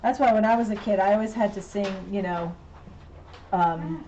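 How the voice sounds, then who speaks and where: A woman's voice: mid-range voice, normal speed, mellow, lo-fi sound, slight background noise. A middle-aged woman teaching a painting class, chatting and laughing as she works in an indoor studio. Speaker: painting instructor. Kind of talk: casual chat while painting live.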